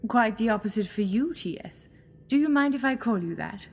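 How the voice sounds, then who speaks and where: Female actor as sinister false goddess, high husky voice, seductive, mocking, theatrical. Audio drama. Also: expressive, normal speed. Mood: flirtatious, mocking. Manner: coaxing, theatrical, purring endearments. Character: sinister alien Time Lady posing as goddess, seductive, mocking.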